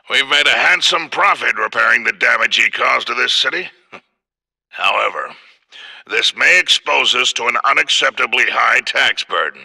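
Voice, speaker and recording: An actor playing a wealthy, smug, menacing crime boss in a superhero cartoon, with pompous, measured, formal speech. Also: male voice, mid-range voice, vocal fry, bright, forceful tone, no room sound, heavily acted. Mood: smug, calculating. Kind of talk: animated superhero cartoon acting.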